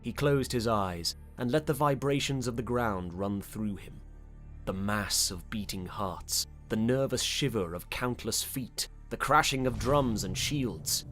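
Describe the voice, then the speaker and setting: A man narrating a fantasy audiobook in a measured, deliberate and dramatic style. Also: fairly low pitch, a bit bright, soft background music.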